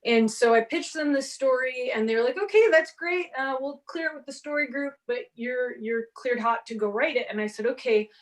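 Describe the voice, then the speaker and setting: A woman in her forties whose first language is Portuguese, telling an animated story on a convention panel and acting out the exchanges she quotes. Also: sits high, moderate pace, few pauses. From half Brazilian, grew up speaking Portuguese. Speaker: panelist author. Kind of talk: casual panel talk.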